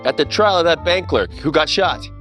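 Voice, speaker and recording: A man playing a wry, deadpan private eye and ex-cop in a parody of 1940s radio detective drama, narrating and trading wisecracks. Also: a little high, moderate pace, faint music behind. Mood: guarded, dry.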